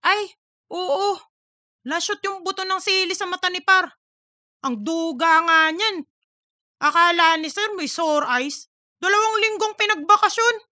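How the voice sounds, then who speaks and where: A woman voicing a teasing male office buddy with drawn-out, slangy talk in a comic audiobook. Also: extremely high pitch, bright, forceful tone, no room sound, clean studio sound, full character voice. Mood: persuasive, joking. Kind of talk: scripted comic audiobook dialogue.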